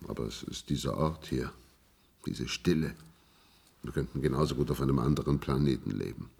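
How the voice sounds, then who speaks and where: An actor playing an aging companion in a radio play, gently insistent as he coaxes and reminisces. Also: very deep voice, a little fast, vocal fry, slightly rough, full character voice. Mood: coaxing. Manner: coaxing, reminiscing. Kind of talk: German radio play acting.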